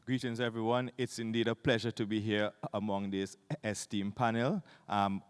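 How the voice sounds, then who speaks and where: Formal man with a flat, low voice. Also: moderate pace, smooth. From Caribbean. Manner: formal, with frequent fillers. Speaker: university lecturer and researcher. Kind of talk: formal panel introduction. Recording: conference hall reverb.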